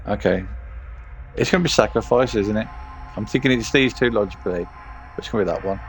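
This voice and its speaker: A man with a low, gravelly voice narrates a video game as he plays it. He reads on-screen text aloud, then drops into casual muttered reasoning about his choices. Music plays underneath. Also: a little fast. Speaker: game streamer.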